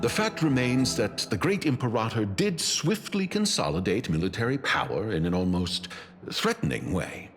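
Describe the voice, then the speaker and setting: A man voicing a courteous, measured, loyal dignitary in a video game, speaking politely and formally. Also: lowish voice, very animated, fairly bright tone, soft background music. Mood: earnest, grave. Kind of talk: video game character acting.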